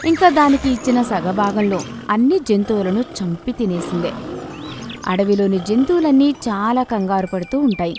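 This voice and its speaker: A woman's voice: medium pitch, expressive, moderate pace. Woman storyteller, music playing behind. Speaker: storyteller, narrator. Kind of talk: scripted children's story narration.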